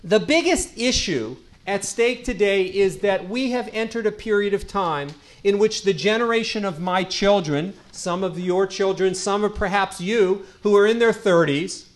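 A middle-aged man, a rabbi, giving a lecture to a community audience into a handheld microphone, with a loud voice and a habit of repeating key lines for emphasis. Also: sits high. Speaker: rabbi and guest speaker.

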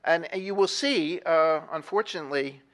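A man's voice: on the high side, normal speed, a bit bright. A middle-aged man giving a university history lecture in a hall, talking in a discursive way with many fillers and restarts, and putting questions to the audience. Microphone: moves away from the microphone at times.

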